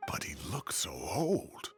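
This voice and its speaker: An actor playing a blustery, indignant but kindly retired professor in a video game, with a theatrical, exclamatory delivery. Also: male voice, sits low, wildly swooping pitch, slow, full character voice. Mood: rueful. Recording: music playing behind the voice.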